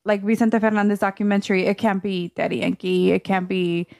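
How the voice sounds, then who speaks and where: A woman's voice: medium pitch, level tone, fairly quick, creaky, a little mellow, no room sound, studio quality. A woman co-hosting a casual group chat, speaking informally in loose conversational phrases. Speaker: podcast co-host.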